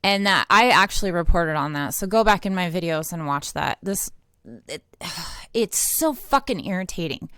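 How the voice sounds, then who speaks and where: Smooth, low-toned American woman, blunt political commentary. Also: animated, moderate pace, fairly bright tone, no echo, clean studio sound. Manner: blunt, indignant, swears freely. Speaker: independent online political commentator and activist. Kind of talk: impassioned unscripted political commentary to camera.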